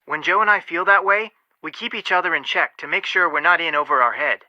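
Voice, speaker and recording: Careful skeptical young detective dude in a game, really bright forward voice, dry studio sound. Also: male voice, a little high, a little fast, no room sound, highs cut off. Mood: concerned. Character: cautious, level-headed, skeptical young male detective. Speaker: video game character voice actor. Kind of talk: scripted game phone dialogue.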